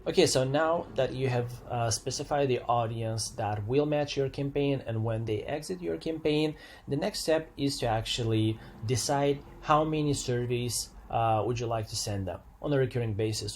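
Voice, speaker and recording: A man presents an unscripted software walkthrough, explaining step by step in a slightly hesitant way and repeating phrases as he goes. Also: mid-range voice.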